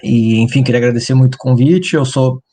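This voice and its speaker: A filmmaker from Porto Alegre with a southern Brazilian accent, speaking over a video call in a thoughtful, hesitant way. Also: male voice, medium pitch, steady tone, a little fast, dark tone. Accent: Rio Grande do Sul Portuguese. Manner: thoughtful, hesitant, many fillers. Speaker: filmmaker guest. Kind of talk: casual video-call interview.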